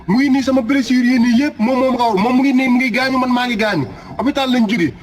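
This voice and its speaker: A man's voice: very high-pitched, quick pace. A man with a Senegalese accent voicing an aggrieved, insistent passenger protesting in a comic cartoon. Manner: complaining, insistent.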